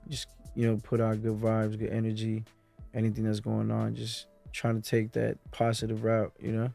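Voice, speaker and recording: A man's voice: lowish voice, monotone, moderate pace, vocal fry, mellow. A man who grew up in Mexico City, a music producer talking as a guest in a casual sit-down interview, full of street slang and "you know" asides.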